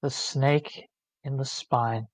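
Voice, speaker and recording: A man's voice: medium pitch, fairly even intonation, slow pace, no room sound, lightly acted. A man reading the part of a haunted, devout father in a supernatural screenplay, solemn and commanding. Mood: grave. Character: haunted, devout father confronting a demonic force. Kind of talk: screenplay table read.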